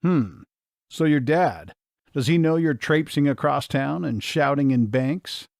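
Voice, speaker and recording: A man's voice: a little high, animated, measured pace, vocal fry, darkish tone, dry recording, clean studio sound. A man reading as a playful small-town charmer. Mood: teasing. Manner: teasing, easygoing.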